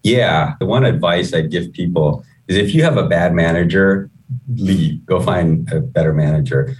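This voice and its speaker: A man's voice: sits low, moderate pace, distant mic. A middle-aged man from Guyana who moved to the US as a child, speaking reflectively and conversationally as an executive being interviewed. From Guyana, moved to the US at eleven. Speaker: chief executive, interview guest.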